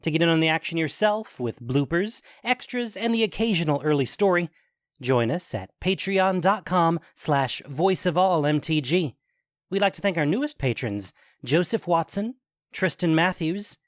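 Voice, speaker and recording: A man narrating a scripted fantasy story in a measured, deliberate, dramatic way. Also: on the high side, animated, dry recording, clean studio sound. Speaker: narrator and host of an audio fiction show.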